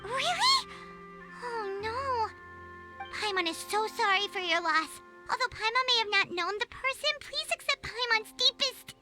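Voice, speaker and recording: A woman voicing a tiny, cheeky, excitable childlike fairy companion in a video game, squeaky and bubbly. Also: unhurried, over music. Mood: concerned, confused. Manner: refers to self in third person, bubbly. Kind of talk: English video game voice acting.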